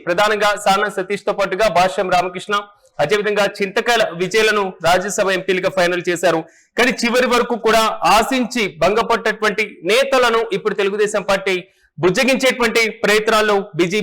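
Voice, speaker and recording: A man's voice: sits high, fairly bright tone. A man presenting news and political analysis in a formal, repetitive manner.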